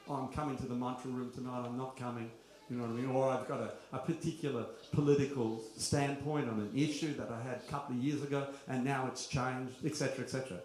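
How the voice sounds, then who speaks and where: A man's voice: mid-range voice, fairly even intonation, fast, darkish tone. A middle-aged man gives an informal, confessional spiritual talk at a kirtan gathering, full of rhetorical questions and wry asides. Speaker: kirtan speaker. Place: large echoey room at a kirtan gathering. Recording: very roomy, faint background noise.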